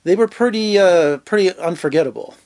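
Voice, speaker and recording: Upbeat film critic hosting an interview. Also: male voice, high voice, expressive, fairly quick, darkish tone. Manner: upbeat, promotional. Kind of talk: interview host.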